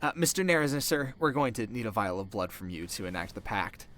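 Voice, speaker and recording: A man's voice: fairly low pitch, animated, brisk, fairly bright tone. A man playing a deferential servant in a fantasy audio drama, polite, hesitant and formal, recorded over a faint noise bed. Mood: deferential, ceremonial. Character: deferential male servant performing blood-pact rites.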